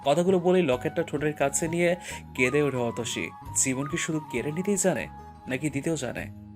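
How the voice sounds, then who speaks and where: A man narrating romantic fiction as a scripted audio story, with expressive, emotional storytelling. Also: fairly high pitch, normal speed, music in the background.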